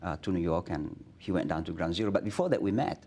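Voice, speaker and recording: Middle-aged indonesian guy, earnest, lots of uh and restarts, lowish voice, studio interview. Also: fast talker. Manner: earnest, many restarts and fillers, grows animated. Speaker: imam, guest.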